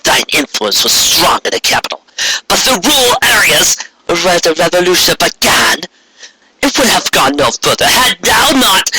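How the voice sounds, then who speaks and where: A man's voice: high-pitched, sharp tone, breathy edge, voice pushed up, full character voice, poor audio. A man voicing a wrathful, imperious alicorn queen in a storybook reading, with grandiose archaic commands.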